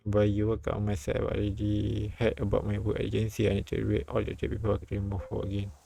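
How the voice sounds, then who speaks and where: A man reading a story and its dialogue aloud as a live streamer, often halting and repeating phrases. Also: lowish voice, monotone, creaky, warm tone. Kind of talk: read-aloud narration and dialogue translation with side comments.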